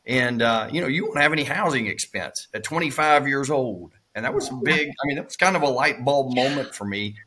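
Middle-aged man, raspy with a cold. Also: mid-range voice, fairly quick, hardly any pauses, sharp tone. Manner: rambling, many fillers and restarts. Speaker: real estate investor guest. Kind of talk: casual storytelling interview.

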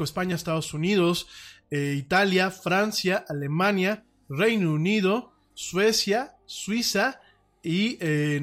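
Mexican male podcast host, a bit high-pitched, talky and rambling, jumps up in pitch when he gets emphatic. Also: a bit bright. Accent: Mexican Spanish. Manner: chatty, rambling, frequent restarts and fillers. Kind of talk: casual solo podcast chat.

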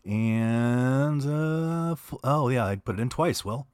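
A man's voice: mid-range voice, lively intonation, slow, a little mellow, clear, resonant tone, no echo, studio quality. Easygoing man co-hosting radio. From Southern California. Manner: easygoing, hesitant. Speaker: radio co-host. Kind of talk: casual radio chat.

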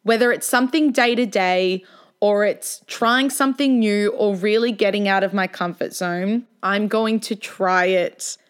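Young woman in her twenties, podcast host, with a smooth, clean voice at an ordinary middle pitch. She talks in a candid, self-mocking way while reading a scripted narration. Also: unhurried. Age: 20s.

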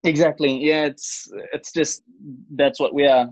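A man's voice: a little high, steady tone, measured pace, no echo, poor audio. A young man from Switzerland with a New Zealand-influenced accent, chatting earnestly and casually as an interview guest. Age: young adult. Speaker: podcast guest, church worship singer.

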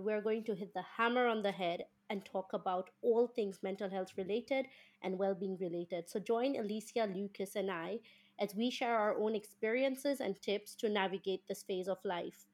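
A woman's voice: medium pitch, a little mellow. A young woman from Kenya hosting a podcast, opening and steering the talk in an upbeat, fluent way. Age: young adult. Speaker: podcast host. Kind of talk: casual podcast chat.

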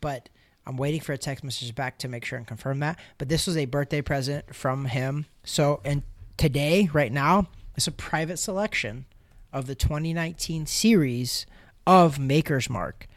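A man's voice: on the high side, normal speed, dry recording. A man co-hosting a casual boxing podcast in a gym's podcast room, chatty and a little scattered. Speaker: podcast co-host. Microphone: studio mic with headphones.

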